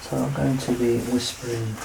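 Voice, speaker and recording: A man in his thirties doing an ASMR medical exam roleplay as a doctor, soft-spoken, reassuring and explanatory. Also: mid-range voice, little pitch movement, moderate pace, vocal fry, a little hiss or hum, poor audio. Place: small curtained indoor room.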